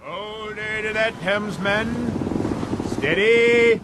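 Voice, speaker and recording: An actor playing a commanding, wry, sardonic sea captain in a radio drama. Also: male voice, sits high. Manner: commanding, wry, drawn-out delivery. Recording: loud wind and sea ambience.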